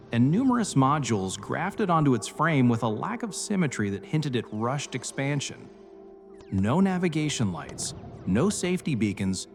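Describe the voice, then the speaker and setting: A man reading scripted cinematic science fiction narration in a measured, serious storytelling manner. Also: mid-range voice. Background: music bed.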